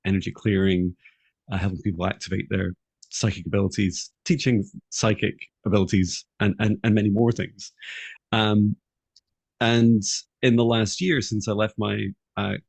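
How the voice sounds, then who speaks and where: A middle-aged man from Scotland introducing himself on a video call, earnest and halting with many fillers and restarts. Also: lowish voice, gravelly, dry recording.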